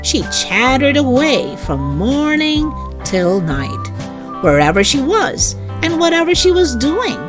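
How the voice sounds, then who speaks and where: Slow, very animated woman storyteller. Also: sits high, music in the background. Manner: expressive, deliberate, child-directed delivery. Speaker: children's story reader. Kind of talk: read-aloud children's storytelling.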